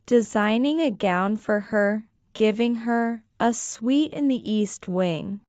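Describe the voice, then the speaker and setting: A woman language teacher slowly repeating scripted lines for shadowing practice, enunciating each one with care. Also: a little high, a little mellow, clean tone, no echo, highs cut off. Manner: careful, deliberate enunciation.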